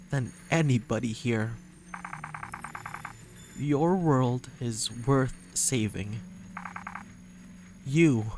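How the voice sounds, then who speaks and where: Man voicing young male dating-game character, slow halting pace, anguished, earnest, tender, medium pitch, clear music behind. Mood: resolute, earnest. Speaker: voice actor reading a visual novel. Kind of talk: emotional dramatic game dialogue reading. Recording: music playing under the voice.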